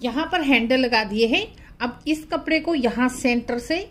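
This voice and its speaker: A woman's voice: on the high side, moderate pace, heavy background noise. A woman hosting a craft tutorial, narrating step by step in a plain, instructional manner.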